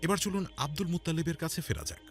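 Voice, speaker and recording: A man's voice: on the high side, animated, fast. A man narrating a scripted religious cartoon story in a dramatic storytelling style. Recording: music under the voice. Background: background music.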